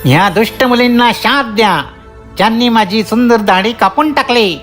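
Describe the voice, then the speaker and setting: A woman's voice: on the high side, normal speed, fairly bright tone, faint music behind, close to an everyday voice. A woman voicing a rude, grumpy little bearded male dwarf in a children's cartoon story, with a blustering, indignant manner. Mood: irritated, scolding.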